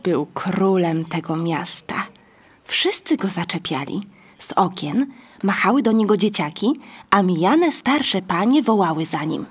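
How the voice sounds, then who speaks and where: A woman reading scripted narration for a children's story, with a husky, breathy voice. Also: on the low side, lively intonation, a little slow.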